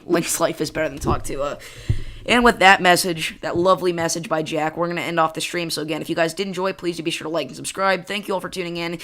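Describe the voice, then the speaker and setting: A young man from central Illinois hosts a casual weather livestream, chatting at home and reading chat aloud. His voice is bright and forward, pitched a little high, and he talks fast and excitedly, drifting into tangents.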